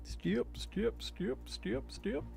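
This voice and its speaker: Flustered male game streamer, slightly creaky voice. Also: high-pitched, drawn-out words, a little mellow, faint music behind. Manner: flustered, apologetic, self-deprecating. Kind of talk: casual live game stream commentary with read-aloud game text.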